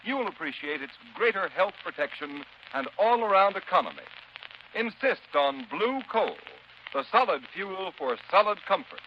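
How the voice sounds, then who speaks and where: A man's voice: high voice, sing-song, normal speed. A man announcing in 1930s radio style, with formal, emphatic, declamatory delivery. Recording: noisy muffled 1930s radio recording.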